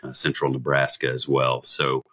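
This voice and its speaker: A man hosting a podcast, his voice scratchy from days of shouting, talking in a jovial, teasing, rambling way. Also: a bass voice, completely flat delivery, moderate pace. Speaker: podcast host. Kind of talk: casual podcast chat.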